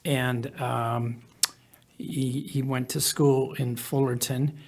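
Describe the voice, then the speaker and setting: A middle-aged or older man, an interview guest, telling a personal story in measured, halting phrases. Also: mid-range voice, little pitch movement. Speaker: podcast guest, author.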